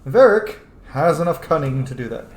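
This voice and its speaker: A man's voice: on the high side, expressive, moderate pace. A man giving casual let's-play commentary, blunt, opinionated and freely swearing. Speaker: gamer, streamer. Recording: echoey room with faint game music.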